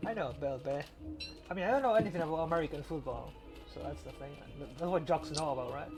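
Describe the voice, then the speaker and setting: Higher-voiced man chatting over music. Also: fast, vocal fry. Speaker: podcast co-host. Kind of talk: casual banter over a game.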